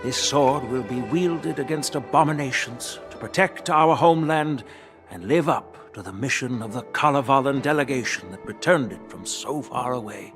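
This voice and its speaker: An actor playing a dignified elderly grandfather and general in a video game, formal, measured and authoritative. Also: mid-range voice, music in the background, lightly acted. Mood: solemn, formal.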